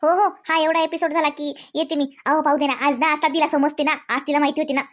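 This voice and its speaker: High-pitched chatty housewife, Varhadi accent, acted by a woman. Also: steady tone, fast talker, natural voice. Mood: absorbed. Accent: Varhadi Marathi of Vidarbha. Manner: chatty, homely, coaxing. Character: chatty, practical young housewife and mother in a comedy cartoon. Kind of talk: colloquial domestic comedy cartoon dialogue.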